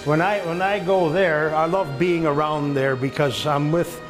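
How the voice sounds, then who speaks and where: A man's voice: on the high side, fairly even intonation, unhurried, music in the background. A man in his seventies from Belgium, chatting casually in a folksy, agreeable way while filming a visit. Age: 70s. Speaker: home videographer and visitor chatting with a veteran. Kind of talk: casual chat.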